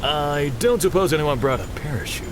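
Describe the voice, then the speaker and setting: An actor playing a brash, battle-hardened army sergeant in an audio drama, swaggering and punchy. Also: male voice, on the high side, animated, brisk, background noise, close to an everyday voice. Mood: grim, dry.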